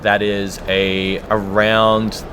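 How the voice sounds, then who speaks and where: A man's voice: fairly low pitch, flat delivery, extremely slow, fairly bright tone, a little hiss or hum. A man giving conversational, explanatory answers as an audiobook producer being interviewed.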